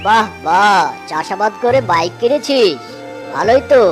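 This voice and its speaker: A woman's voice: medium pitch, expressive, moderate pace, lightly acted. A woman voicing a gullible, blustery but friendly cartoon tiger uncle, speaking emphatically with repeated exclamations. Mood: friendly, admiring. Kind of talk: exaggerated children's cartoon acting. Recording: clear music behind the voice.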